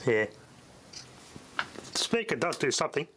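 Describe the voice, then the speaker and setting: A man's voice: on the high side, sing-song, a little slow. A man giving casual, unscripted commentary as he tests equipment hands-on, in an offhand, half-to-himself way. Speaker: hobbyist demonstrating radio equipment. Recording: loud ambient noise throughout.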